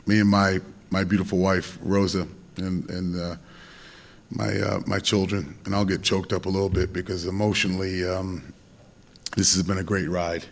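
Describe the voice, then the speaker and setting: A hoarse man giving a halting, heartfelt thank-you speech into a meeting-room microphone. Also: sits low, level tone. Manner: halting, many pauses and fillers.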